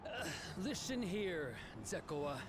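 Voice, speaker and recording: Woman putting on a slow, pompous deputy lawman. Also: fairly low pitch, sing-song, background noise. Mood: stern, condescending. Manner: pompous, exasperated, deliberate. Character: weary, haughty male deputy lawman in western fantasy game.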